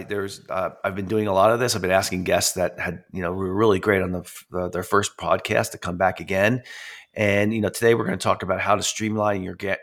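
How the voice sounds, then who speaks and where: A man hosting a podcast, nasal from a head cold. His voice sits fairly low and has a gravelly fry, and he talks haltingly, full of fillers and restarts. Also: a bit bright. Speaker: podcast host. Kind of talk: casual interview, reading sponsor spots.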